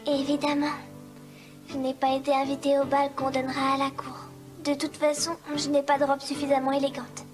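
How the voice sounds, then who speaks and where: A woman voicing a sweet, downtrodden young cartoon heroine with an extremely high voice. She is meek, earnest and obedient, over a clear music bed. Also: completely flat delivery, fairly quick, close to an everyday voice. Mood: resigned. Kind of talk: dubbed fairy-tale cartoon acting.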